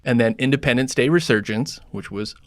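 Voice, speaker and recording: A man's voice: mid-range voice, brisk, no room sound. A man co-hosting a podcast, running through a list of films with wry, sarcastic asides. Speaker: podcast co-host. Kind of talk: casual chat, recapping films.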